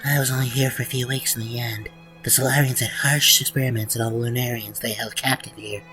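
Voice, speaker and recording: A man plays a young male hedgehog in a comic dub, gentle and hopeful. His speech is earnest and soft-spoken, at a middling pitch, with music clearly playing under it. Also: fairly even intonation, fast, close to an everyday voice, low-quality recording. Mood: somber, remembering. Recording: prominent background music.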